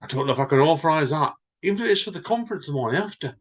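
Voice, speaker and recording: A man's voice: a little high, animated, racing, pitched above the performer's own voice. A man voicing a shocked, doubtful accounts manager in a short story reading. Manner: incredulous, questioning.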